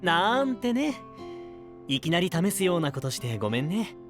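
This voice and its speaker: An actor playing a friendly, playful young male knight in an anime-style voice drama, smooth-talking and teasing. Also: high voice, big pitch swings, moderate pace. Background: music.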